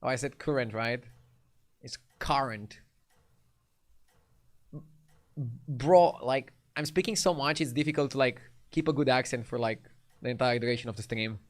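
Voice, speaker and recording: A man's voice: medium pitch, normal speed, pauses often. Laid-back man with a Romanian accent. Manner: laid-back, chatty, answers chat between plays. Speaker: streamer. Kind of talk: casual live-stream commentary.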